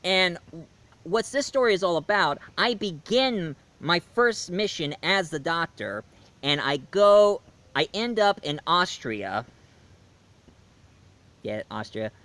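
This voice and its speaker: A man's voice: high-pitched, big pitch swings, takes it slowly, bright, forceful tone. Rambling man announcing his own project. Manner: rambling, enthusiastic, with restarts and ums. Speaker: YouTube reviewer. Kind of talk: casual vlog announcement.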